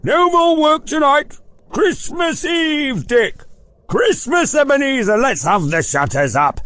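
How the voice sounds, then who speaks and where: A man's voice: sing-song, slow, fairly bright tone, no room sound, full character voice. A man voicing a jovial stout old merchant who calls out cheerful orders in a rich, hearty voice. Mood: jovial, shouting. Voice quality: rich, jovial, booming high voice.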